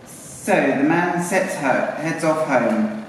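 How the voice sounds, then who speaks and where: Male storyteller, lively higher-pitched voice, informal and chatty, distant mic, cavernous echo. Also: slow pace. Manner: chatty, informal, coaxing the children. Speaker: narrator and puppeteer. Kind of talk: live storytelling with audience questions. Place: live puppet show in arts centre. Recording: echoey hall, distant mic, faint noise.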